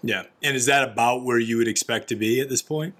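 A man's voice: mid-range voice, fairly quick, a bit bright. A man hosting a corporate podcast, asking conversational, leading questions. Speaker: podcast host.

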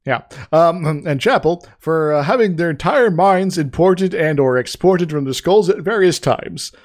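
A man co-hosting a casual podcast, getting over an illness with a sore throat, talking haltingly with many restarts and fillers. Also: a little high, a little mellow. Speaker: podcast co-host.

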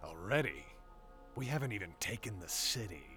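An actor playing a scornful, insolent sergeant with a raspy voice in a sci-fi war audio drama. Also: male voice, fairly low pitch, sing-song, moderate pace, sharp tone, soft background music. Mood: defiant. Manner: insolent, protesting. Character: defiant, scornful traitor sergeant, adult man.

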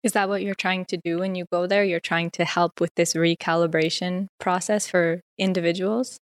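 A woman interviewing a guest, asking slow, measured questions. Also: lowish voice, little pitch movement, dry recording, studio quality.

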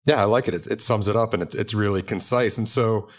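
Man, podcast host, lowish voice, reads an intro, earnest questions. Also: quick pace. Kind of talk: scripted intro then interview questions.

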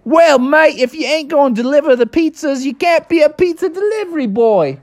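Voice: high voice, lively intonation, normal speed, a little mellow, no echo, voice pushed up. A storyteller voicing a brash male pizza shop boss with a put-on Aussie twang. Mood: bossy.

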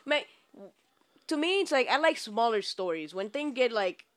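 A man's voice: extremely high pitch, big pitch swings, moderate pace, fairly bright tone, clean tone. A man chatting casually on a podcast in a laid-back, slightly hesitant way. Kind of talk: casual chat.

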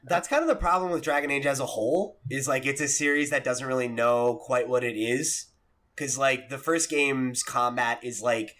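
A man with a medium-pitched voice speaks as a podcast co-host. His delivery is conversational and casual, with some salty language mixed in. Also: normal speed, a bit bright. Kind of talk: casual gaming podcast chat.

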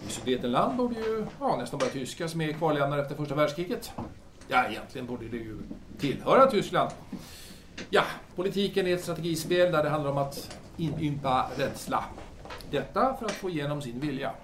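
An actor plays a self-assured, free-thinking male intellectual in an audio drama. His delivery swings widely in pitch, with a genial, avuncular manner. He hems and repeats himself as he talks. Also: animated, background noise, close to an everyday voice. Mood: dismissive, explaining. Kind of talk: Swedish audio drama dialogue.

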